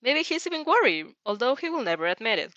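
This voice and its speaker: A woman's voice: on the high side, very animated, fast talker, bright, forceful tone, no echo. A woman reads a story aloud expressively and informally, stumbling over sentences and breaking off to react casually. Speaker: fan fiction reader reacting as she reads. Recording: slightly dull, dry recording.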